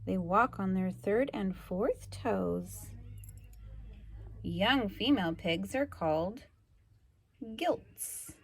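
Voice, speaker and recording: A woman's voice: mid-range voice, lively intonation, extremely slow, creaky, long pauses, breathy edge. A woman reading a children's book aloud expressively, adding chatty asides to young listeners. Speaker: storybook reader.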